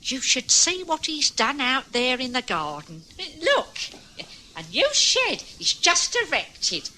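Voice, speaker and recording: Frail chattering London wife, working class, high-ish voice, radio play. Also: female voice, very animated, slow pace, sharp tone, slight background noise, heavily acted, poor audio. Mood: homely. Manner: flustered, homely, chattering. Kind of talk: 1950s radio drama acting.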